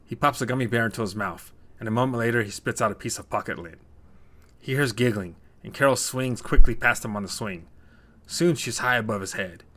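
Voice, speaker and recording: A man's voice: medium pitch, brisk, fairly bright tone. A man narrating a scripted short story as a storyteller.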